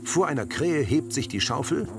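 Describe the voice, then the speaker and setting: A man reading scripted audio description of scenes in a measured way. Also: fairly high pitch, animated, music in the background.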